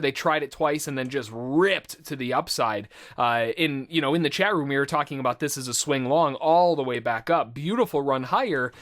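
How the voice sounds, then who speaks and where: A man's voice: a little high, fairly bright tone. A man working as a trading instructor, giving informal, explanatory live commentary full of trading jargon in a conversational way.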